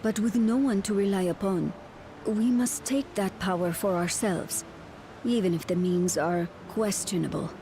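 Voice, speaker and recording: Slow, yearning woman servant played by an actress. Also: mid-range voice, a little hiss or hum, close to an everyday voice. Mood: grave, resolute. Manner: slow, hushed, deliberate, longing. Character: yearning, devoted female servant of a ruthless master. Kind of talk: dramatic video game acting.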